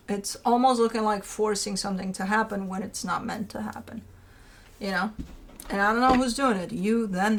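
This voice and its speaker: A woman's voice: mid-range voice, a little hiss or hum. A woman hosting a tarot card reading, talking in an informal, rambling and emphatic way, repeating phrases and putting rhetorical questions to the listener. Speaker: tarot reader hosting her own show.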